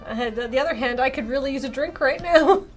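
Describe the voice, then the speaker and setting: A woman's voice: high voice, fast, faint music behind. A woman streamer playfully reading a game's dialogue aloud and chatting casually. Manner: playful, giggly.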